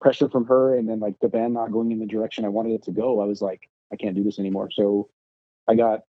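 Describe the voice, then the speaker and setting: A man's voice: lowish voice, fast, no echo, narrow, tinny audio. A man telling stories casually as a podcast guest, swearing freely and acting out the things people said. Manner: profane, animated re-enacting of past arguments. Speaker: podcast guest, punk rock guitarist. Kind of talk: casual storytelling chat.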